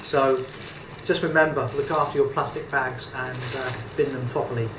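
A man's voice: medium pitch, fairly even intonation, moderate pace, noisy background. Chatty British man in an echoey room. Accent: British English. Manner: chatty, hesitant with many fillers, good-humoured. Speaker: photography tutor. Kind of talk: casual demonstration tutorial. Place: indoor room at a table. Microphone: camera mic a few feet away. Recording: echoey room with faint background ambience.

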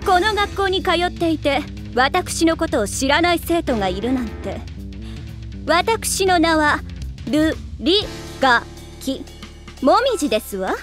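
A haughty young heiress in an anime, a schoolgirl with refined, imperious speech. Her high voice swings theatrically over clear background music. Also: big pitch swings, unhurried. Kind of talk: Japanese anime voice acting.

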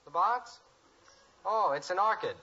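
An actor playing an eager, pestering American teenage son in a 1950s radio sitcom, boyish and persistent. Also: male voice, high voice, lively intonation, a little slow, sharp tone, full character voice. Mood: puzzled. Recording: dull old radio recording.

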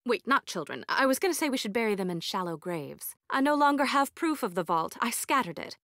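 A woman's voice: on the high side, animated, a little fast, fairly bright tone, dry recording, clean studio sound. An actress playing a haughty, eccentric, jaded woman scientist in a video game, socially awkward, with a curt, formal, condescending manner. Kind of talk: video game character voice lines.

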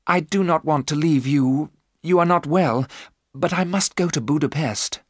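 A man's voice: on the high side, normal speed, slightly rough, dry recording, studio quality, pitched above the performer's own voice. A man voicing an anxious, devoted young woman in a storybook, earnest and emphatic. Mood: tender, worried.

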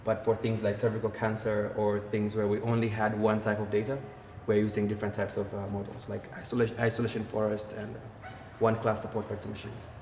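Man speaking flatly in an echoey room. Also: fairly low pitch, a little hiss or hum. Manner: measured, with frequent fillers. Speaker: healthtech co-founder. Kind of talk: technical interview answers. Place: bright office by large windows, indoors.

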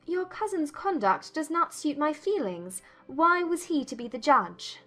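A woman voicing a spirited, proud young gentlewoman, formal and pointed, in a dramatized audiobook. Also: high-pitched, expressive, unhurried, faint music behind, voice pushed up. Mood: questioning, indignant. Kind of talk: dramatized audiobook character dialogue.

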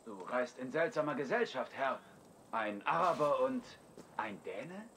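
An actor playing a gruff Saxon soldier captain, dutiful toward his lord, in a video game. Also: medium pitch, lively intonation, a little slow, a bit bright, slight background noise. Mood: wary, deferential. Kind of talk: dramatic video game dialogue.

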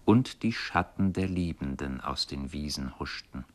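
A man reading literary radio narration in a measured, solemn manner. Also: rumbling low, animated, slight background noise.

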